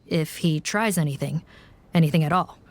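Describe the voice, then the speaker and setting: A woman's voice: sits low, moderate pace, darkish tone. A woman playing a cautious, dutiful guard officer in a video game. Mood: wary, official. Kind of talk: scripted video game voice acting.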